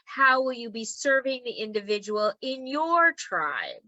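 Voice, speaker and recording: A woman's voice: on the high side, big pitch swings, measured pace, pushed and bright, no room sound, muffled recording. A woman teaching an online group in a casual, encouraging way. She keeps checking in with "okay?" and her voice is worn from losing it. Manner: chatty and encouraging, frequent "okay?" check-ins. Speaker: human design teacher.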